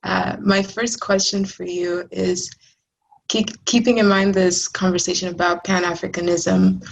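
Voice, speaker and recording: A woman's voice: fairly low pitch, creaky. A woman from Tanzania, a student, asking prepared questions over a video call. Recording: dull lo-fi call audio with faint noise.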